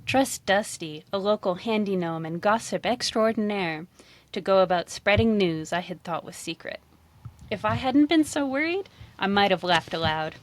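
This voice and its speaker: A woman author reading her own first-person story as expressive scripted narration. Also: mid-range voice, moderate pace.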